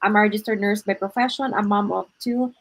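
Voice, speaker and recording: A woman's voice: mid-range voice, steady tone, brisk. A woman from the Philippines with a Filipino accent, casually hosting an online livestream. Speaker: host.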